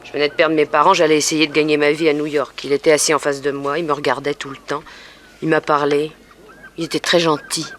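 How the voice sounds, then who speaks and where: A woman's voice: low voice, little pitch movement, fast talker, close to an everyday voice. Downtrodden young woman of the streets played by an actress, plaintive and plain-spoken, slightly noisy old radio play. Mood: resigned, uneasy. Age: young adult. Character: weary, frightened, submissive young streetwalker under a pimp's hold. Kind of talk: 1960s French radio drama acting.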